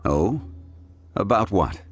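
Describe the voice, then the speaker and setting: A man voicing an ancient god in human guise in a video game, calm, dignified and weary, with formal, measured, solemn delivery. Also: on the low side. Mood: calm, regretful. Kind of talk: video game character acting. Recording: music behind the voice.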